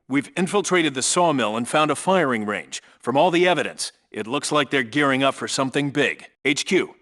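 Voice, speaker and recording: Casting: male actor for seasoned special forces team leader in a military game, mid-pitched, hard-edged forceful tone, terse and authoritative delivery. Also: natural voice. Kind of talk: video game voice acting, clipped military radio reports.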